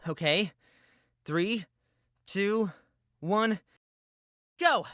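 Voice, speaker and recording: A woman voicing a gentle, polite eighteen-year-old orphan in a visual novel, speaking earnestly. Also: mid-range voice, very slow, dry recording, studio quality. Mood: excited. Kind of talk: visual novel character reading.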